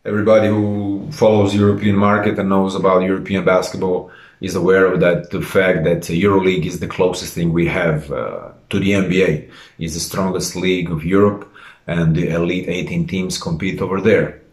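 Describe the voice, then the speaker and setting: Man, late 30s, low voice, formal head coach addressing fans in a roomy, echoing space. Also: fairly even intonation, normal speed. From Croatia. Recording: roomy echo.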